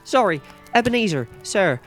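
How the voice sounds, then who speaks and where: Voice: mid-range voice, sing-song, normal speed, fairly bright tone, faint music behind. A performer playing a cheeky Victorian street child in a comic radio play. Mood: pleading. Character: cheeky street urchin child in debt to gangs.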